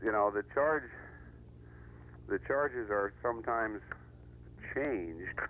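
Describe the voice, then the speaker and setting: A man's voice: fairly low pitch, a bit bright. A middle-aged American man explaining things casually and hesitantly as a caller to a radio show. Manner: hesitant, halting. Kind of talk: casual explanatory phone-in talk. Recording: noisy radio phone-in with hum.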